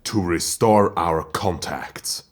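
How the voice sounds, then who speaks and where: A man playing a gruff, impatient, pompous colony chancellor in an audio drama, brusque and commanding. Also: low voice, slow pace.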